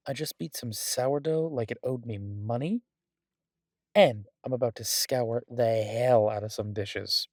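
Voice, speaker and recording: A man playing a lovesick, wry mafia boss who rambles haltingly in an intimate audio drama voice message. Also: mid-range voice, a little mellow, no room sound, clean studio sound, close to an everyday voice. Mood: wry, restless. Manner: halting, rambling, drawn-out pauses, occasionally swearing.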